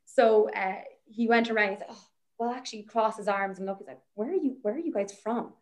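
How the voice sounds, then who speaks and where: A woman's voice: mid-range voice, fairly quick. A young Irish woman researcher, chatting as a guest and telling a story in a rambling way, with plenty of laughter and fillers. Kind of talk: casual anecdote on a podcast.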